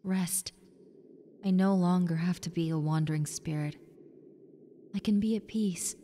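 A woman voicing a friendly, needy young female ghost in an intimate roleplay, softly coaxing the listener with hesitant pauses. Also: lowish voice, lightly acted. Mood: wistful, gentle. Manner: soft, coaxing, hesitant pauses, sometimes near whisper. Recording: long reverb, clear background noise and ambience.

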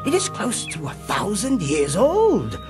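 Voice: mid-range voice, huge pitch swings, a little slow. An actor playing a grave, proud guide to an ancient tower in an audio comic drama. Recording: music clearly underneath.

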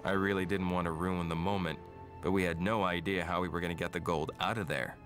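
A man's voice: deep voice, steady tone, fairly quick, a bit bright. An actor playing a wry soldier who narrates his squad's story in a video game. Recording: clear music behind.

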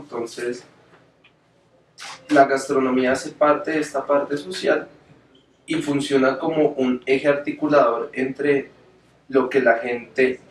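A young man, a gastronomy lecturer calmly explaining ideas in an interview in a small office. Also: mid-range voice, echoey room, far from the mic, slight background noise, low-quality recording. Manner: explanatory, academic, casual slang. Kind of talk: interview answers.

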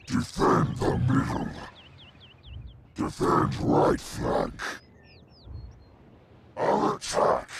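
An actor voicing a huge, menacing dark dragon for a video game, haughty and theatrical, with a growling, roaring delivery. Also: male voice, heavy background noise, heavily acted. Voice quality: deep, gravelly growling roar. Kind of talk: video game character voice lines.

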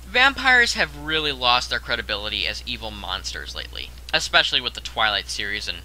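Bright, animated young man hosting a countdown. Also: mid-range voice, a little fast, rough recording. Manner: conversational, addresses the viewer with rhetorical questions. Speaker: countdown video host. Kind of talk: scripted top-ten list narration.

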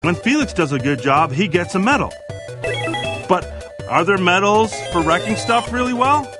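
A man playing a big, gruff, hot-tempered but wistful video game villain in an animated film. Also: high-pitched, normal speed, over music. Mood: wry, resigned.

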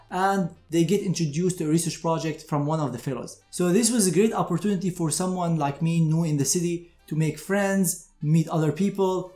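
A man's voice: on the high side, mellow, reverberant. A man in his thirties giving a structured, explanatory talk to camera, like a doctor advising graduates. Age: 30s. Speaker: doctor presenting advice to medical graduates. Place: indoor room with shelves, seated. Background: music.

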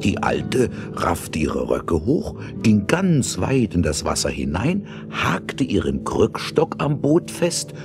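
Deep-voiced male fairy-tale narrator. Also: huge pitch swings, takes it slowly, few pauses. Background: music.

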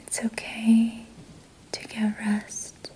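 A young woman whispering gently and reassuringly in a guided ASMR relaxation, leaving long pauses. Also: fairly high pitch, completely flat delivery, low-quality recording. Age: young adult. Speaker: ASMR host doing a healing roleplay. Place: small indoor room at night.

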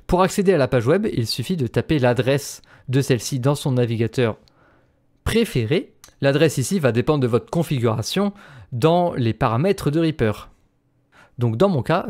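A man's voice: mid-range voice, darkish tone. A man presenting an instructional screencast as a voice-over. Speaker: tutorial presenter.

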